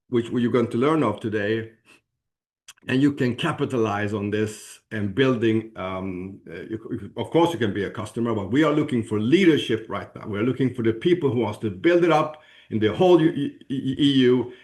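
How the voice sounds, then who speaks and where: A man from Sweden with a Swedish accent gives an informal promotional presentation, haltingly searching for words and clearing his throat. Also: mid-range voice, a little mellow. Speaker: presenter at a business opportunity webinar.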